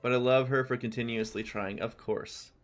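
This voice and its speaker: A man's voice: medium pitch, steady tone, normal speed. A man streaming a video game, chatting casually with his viewers while he plays, rambling easily between game callouts. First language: English. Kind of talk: casual chat while gaming.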